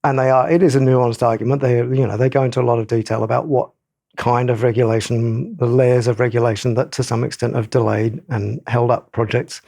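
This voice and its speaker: A man with a marked vocal fry and an average-pitched voice speaks as a podcast panellist. His analysis is conversational, measured and explanatory. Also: flat delivery, warm tone. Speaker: journalist panellist on a news podcast.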